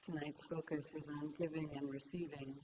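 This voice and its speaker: A woman meditation teacher leading a guided meditation in gentle, unhurried phrases with long pauses. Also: deep voice, flat delivery, low-quality recording. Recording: clear background hiss.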